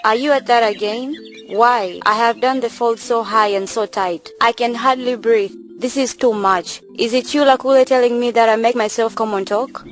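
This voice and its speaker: A woman playing a proud, sharp-tongued young village beauty who argues back in an amateur student drama. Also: a little high, steady tone, moderate pace, few pauses, faint music behind, natural voice. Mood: indignant. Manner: argumentative, retorting.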